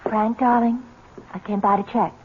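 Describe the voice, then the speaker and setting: An actress playing a wealthy, doting but bossy society fiancée in an old-time radio mystery, coaxing and self-assured. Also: female voice, fairly high pitch, flat delivery, moderate pace, lightly acted. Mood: coaxing, insistent. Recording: muffled, noisy vintage radio recording.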